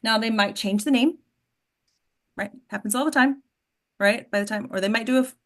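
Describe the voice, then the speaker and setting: A woman's voice: fairly high pitch, moderate pace. A woman hosting an informal workshop discussion as an acting coach, instructive and often checking in with the group. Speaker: host and acting coach leading a table read discussion.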